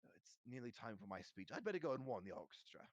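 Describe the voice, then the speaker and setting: A man voicing a proud, easily wounded gentleman ghost with a stiff, formal manner, in a storybook reading. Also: mid-range voice, sing-song, quick pace, no echo.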